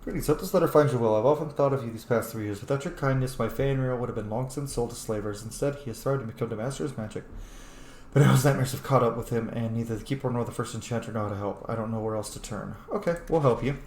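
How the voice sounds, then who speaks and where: A man's voice: medium pitch, quick pace, a little mellow. A man with a mid-range voice plays a fantasy game aloud, casual and informal, roleplaying and reading text out. The room is echoey, with faint noise behind him. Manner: sweary, informal. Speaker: game streamer roleplaying as his character. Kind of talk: casual let's play commentary, reading in-game letters aloud.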